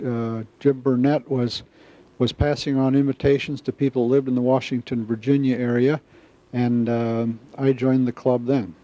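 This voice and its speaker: An American man in his seventies from the Midwest, recalling things in an interview in a halting, measured way. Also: mid-range voice, little pitch movement, warm tone. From Wisconsin, US Midwest. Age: 70s. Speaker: club member, newsletter editor. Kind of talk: interview recollection. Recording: slightly dull, dry interview sound.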